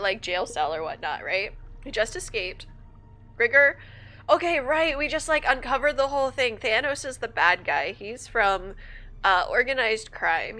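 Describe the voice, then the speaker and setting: Young woman gamer, sick and sniffly, fairly high bright chatty voice, quiet music behind. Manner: chatty and upbeat, laughs often, thinks aloud. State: sick with a stuffy nose, sniffling. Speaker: streamer. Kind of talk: casual gaming livestream chat.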